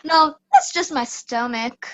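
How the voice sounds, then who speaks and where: A woman's voice: high voice, slow, a bit bright, low-quality recording. A woman playing an odd, matter-of-fact storybook boy who happily eats dirt, in a children's radio play. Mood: unbothered. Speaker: student radio play actor.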